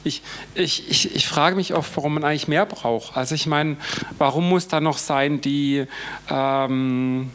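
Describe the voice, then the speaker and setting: A man leading a panel discussion in a fairly high voice. He talks haltingly and repeats words when he gets stuck. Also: a bit bright. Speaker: panel moderator.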